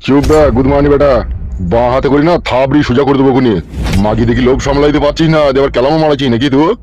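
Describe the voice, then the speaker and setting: A man's voice: mid-range voice, fairly even intonation, rapid-fire, muffled recording, noisy background, natural voice. A man voicing a corrupt, bullying police officer in a comedy cartoon, crude, contemptuous and threatening. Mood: contemptuous threats.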